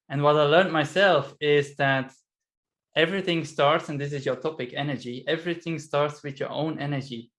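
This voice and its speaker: A man, speaking as an interview guest over a video call from home. His pitch sits in an ordinary middle range, and he talks thoughtfully, often pausing on fillers and restarting his sentences. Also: a bit bright. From Belgium. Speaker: guest, business coach. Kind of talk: casual interview chat. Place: home in Vienna.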